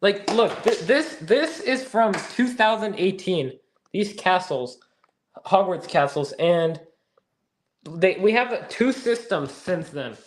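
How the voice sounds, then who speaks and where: A man's voice: sits high, expressive, slow pace, echoey room, slight background noise. A man hosting a casual podcast, chatting and reading out viewer comments. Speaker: podcast host reading live chat. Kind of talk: casual chat.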